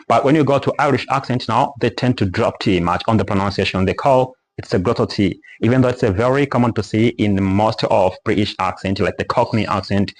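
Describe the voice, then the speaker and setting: A man teaching English pronunciation in a casual spoken lesson, explaining step by step and slowly repeating example words. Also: fairly low pitch, rough recording.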